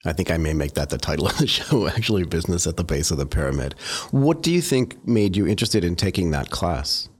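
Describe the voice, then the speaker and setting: A man's voice: deep voice, very animated, fast talker, hardly any pauses, slightly rough. A man hosting a podcast, chatty and enthusiastic as he interviews a guest. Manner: enthusiastic, chatty, laughs easily. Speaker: podcast host. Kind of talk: casual interview chat.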